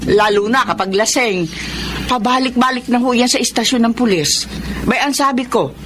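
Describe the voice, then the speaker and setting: A woman's voice: fairly high pitch, moderate pace, a bit bright, slight background noise, natural voice. A woman with a Filipino accent playing a grateful, respectful middle-aged mother in a radio drama. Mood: grateful, confiding.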